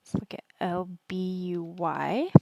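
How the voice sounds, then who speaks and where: A woman with a lowish, slightly hoarse voice gives casual stock commentary over a screen share. She is chatty and thinks aloud, at an unhurried pace. The sound is dry and close. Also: animated, darkish tone, no room sound. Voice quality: husky, worn voice. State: partly hoarse from singing at a concert. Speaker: stock trading educator, video host.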